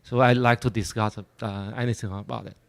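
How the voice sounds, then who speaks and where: A man from China introducing himself as a venture capitalist on a conference panel, speaking haltingly with many fillers. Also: lowish voice, steady tone, vocal fry.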